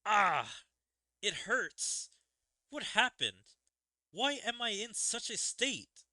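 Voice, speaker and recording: A villainous anime game witch, put on by a man, distressed and frustrated. The voice is pushed high and sharp, in halting, dramatic exclamations. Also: big pitch swings, no echo, full character voice. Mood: pained, confused. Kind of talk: dramatic read-aloud of game dialogue.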